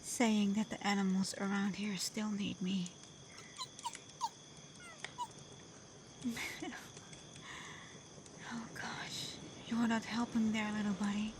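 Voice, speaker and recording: A woman playing a gentle, devoted kitsune in love in an intimate ASMR roleplay, speaking tenderly and hesitantly, often dropping to a breathy whisper. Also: medium pitch, background noise, close to an everyday voice. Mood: tender, torn. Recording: faint cricket and night insect ambience.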